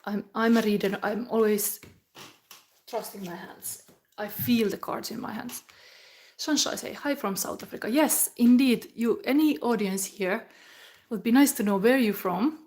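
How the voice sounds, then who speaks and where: A middle-aged woman from Finland, giving a live tarot reading in a slow, chatty way that often drops into a whisper. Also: on the high side, a little mellow. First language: Finnish. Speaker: tarot reader.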